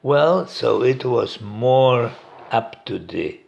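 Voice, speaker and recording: A man with a Polish accent talks in a very slow, unhurried way, his low voice full of gravelly fry. His pitch swings widely as he muses aloud, pausing, restarting and chuckling to himself. He sounds like he is giving a reflective talk, recorded at home on his own device. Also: animated, darkish tone, highs cut off. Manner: slow, halting, pauses and restarts, chuckles often. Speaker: meditation teacher. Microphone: recording device set up by himself.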